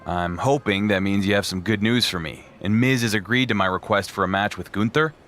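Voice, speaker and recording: Actor playing a cocky wrestling champion. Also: male voice, on the low side, a little fast, fairly bright tone, faint music behind, lightly acted. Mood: dry, cocky. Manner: cocky, determined promo delivery. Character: confident, defiant male pro wrestling champion. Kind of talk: scripted video game cutscene acting.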